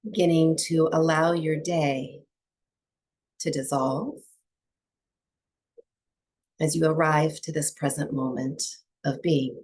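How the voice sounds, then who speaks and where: Low-voiced woman guiding slow breathwork. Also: steady tone. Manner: soft, unhurried, soothing delivery with long pauses. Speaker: yoga and breathwork teacher. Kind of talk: guided meditation instruction to a small group.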